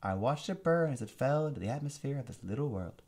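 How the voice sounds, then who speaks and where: A man's voice: mid-range voice, lively intonation, fast talker, a little mellow, pitched above the performer's own voice. A man voicing a gloating evil alien warlord who sneers and draws out his words in a mock-British manner. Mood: menacing.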